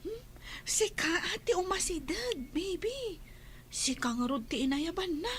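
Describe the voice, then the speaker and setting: An innocent, playful child in a radio drama. The voice is extremely high and swings up and down in an animated way. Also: female voice, a little slow, a little hiss or hum, exaggerated voice. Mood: playful, curious. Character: playful, innocent young child, an older little sibling. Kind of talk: Ilocano radio drama acting.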